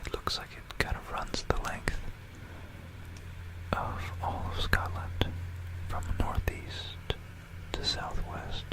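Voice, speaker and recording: An ASMR host whispering an educational history talk in an unhurried, explanatory manner, close to a stereo microphone. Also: poor audio. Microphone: close stereo binaural microphone.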